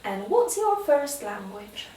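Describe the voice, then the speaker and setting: A woman conducting a spoken English exam as the interviewer, giving clear, measured prompts. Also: mid-range voice, sing-song, warm tone, reverberant, far from the mic, a little hiss or hum, poor audio.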